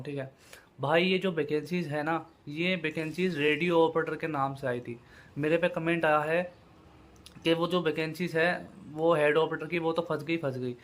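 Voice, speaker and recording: A man giving an informal explainer to viewers as a channel host, repeating his points with familiar check-in tags. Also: fairly high pitch. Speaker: YouTube host giving job exam updates.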